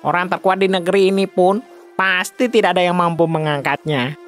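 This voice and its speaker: A man's voice: sits high, steady tone, a little fast, soft background music, close to an everyday voice. A man playing a sceptical villager in a children's folktale drama. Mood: doubtful. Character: doubtful villager in a folktale crowd.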